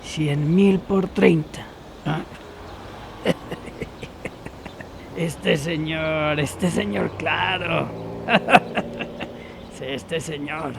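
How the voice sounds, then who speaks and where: A high, creaky-voiced gatekeeper, shady and mocking. He drawls slang slowly in a radio drama, over faint outdoor noise. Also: exaggerated voice. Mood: gloating, sly. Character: gruff, shady, mocking seminary gatekeeper, a man. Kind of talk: audio drama acting.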